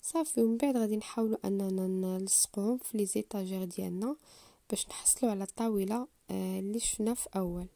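A woman's voice: mid-range voice, vocal fry, dark tone, slight background noise. A woman with a Moroccan Arabic accent hosting a home DIY channel, explaining furniture assembly step by step to viewers in a voice-over. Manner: unhurried, explanatory, addresses viewers directly.

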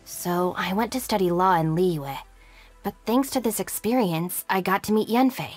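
A woman's voice: medium pitch, normal speed, close to an everyday voice. A woman playing a capable, composed young deputy gang leader in a video game, speaking in a dry, self-assured, matter-of-fact way. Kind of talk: scripted game character monologue. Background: background music.

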